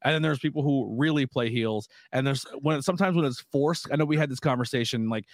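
A man's voice: medium pitch, quick pace, dry recording, studio quality. A man hosting a casual podcast interview, telling stories in an easygoing way. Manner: easygoing, storytelling, laughs between points. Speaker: podcast host and wrestling color commentator.

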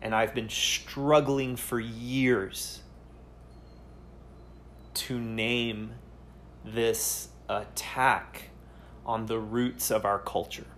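A man hosting a solo podcast, speaking earnestly and haltingly, often pausing to search for words. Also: medium pitch, little pitch movement, fairly bright tone, slight background noise. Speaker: podcast host.

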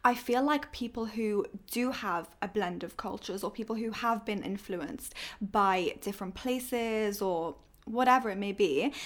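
A woman's voice: medium pitch, fairly bright tone. A woman hosting a podcast in a conversational interview style. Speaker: podcast host.

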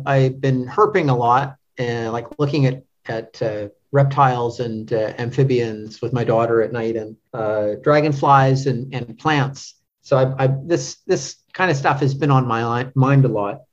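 Male podcast guest, middle-aged, medium pitch, casual and searching delivery with frequent repetitions, slightly dull low-fidelity sound. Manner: halting, thinking aloud, repeats words. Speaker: podcast guest, literary translator. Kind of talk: casual interview chat. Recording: dull, lo-fi audio.

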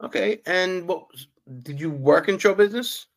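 A man's voice: sits high, normal speed, vocal fry. A man, a podcast host who teaches history, asking his guest short, plain interview questions.

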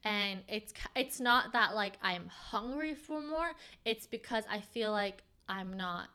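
A young woman whose first language is Farsi, hosting a podcast and talking openly in a reflective, halting way with many fillers. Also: medium pitch, pushed and bright, smooth. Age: young adult. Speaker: podcast host. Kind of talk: casual heart-to-heart chat.

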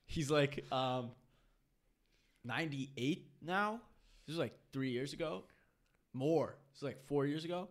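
A man's voice: on the high side, measured pace, pauses often. A young man with Russian as his first language, a podcast guest chatting casually, tired, laughing and slangy as he tells stories. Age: young adult. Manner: loose, laughing storyteller, heavy slang. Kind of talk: casual chat.

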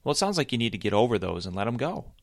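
A man's voice: medium pitch, very animated, very fast, dry recording, clean studio sound. A man voicing a cheerful, always-happy male bunny who talks in an eager, encouraging way.

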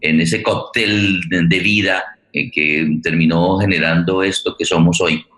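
A man with a Colombian accent speaking as a host in an unscripted, reflective introduction, halting and repeating words as he goes. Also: sits low, fairly even intonation, fairly bright tone. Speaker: host and theater director. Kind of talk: unscripted spoken introduction to a forum. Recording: roomy, echoey sound.

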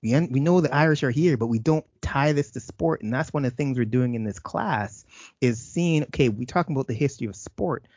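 A man's voice: mid-range voice, brisk, a little mellow, no room sound. A man from Canada, a university lecturer, hosting a podcast interview and praising his guest with enthusiasm. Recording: dry, dull lo-fi sound.

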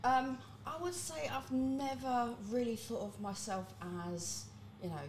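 A young woman who grew up in the Netherlands, a podcast guest telling her stories in a relaxed, candid way. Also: sits high, unhurried, slight background noise. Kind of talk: casual interview chat.

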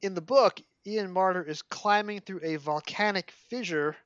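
A man's voice: high-pitched, normal speed, clean tone, no room sound, highs cut off. A man with a scratchy voice and a Brooklyn accent, hosting a podcast chat and reading passages aloud from a book. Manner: animated storyteller, mock dramatic. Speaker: podcast host.

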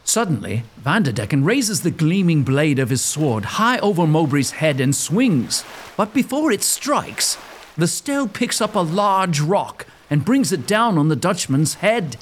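A man narrates a dramatic scripted tale in a fairly high, very expressive voice. His delivery is theatrical and suspenseful, over faint background noise. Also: measured pace.

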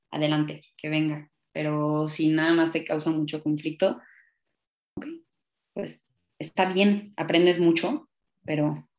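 A young woman from Monterrey with a Mexico City Spanish accent, chatting casually and playfully as a podcast guest. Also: low-pitched. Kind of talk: casual chat.

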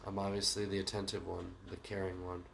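A man's voice: low-pitched, monotone, quick pace, vocal fry. A man co-hosting a podcast in casual chat, talking with a stuffy nose in a joking, sarcastic way. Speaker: podcast co-host. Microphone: phone call.

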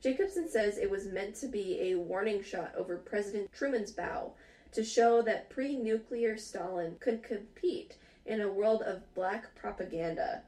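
A woman's voice: mid-range voice, measured pace, warm tone, recorded from a distance, low-quality recording. A woman hosting a casual podcast chat, reading out odd news stories, giggling often and swearing casually. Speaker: podcast host.

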